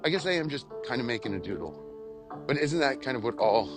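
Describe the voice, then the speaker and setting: A man talking casually to his audience in a rambling, self-deprecating spoken journal, halting and crudely sweary. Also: medium pitch, sing-song, music in the background. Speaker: vlogger.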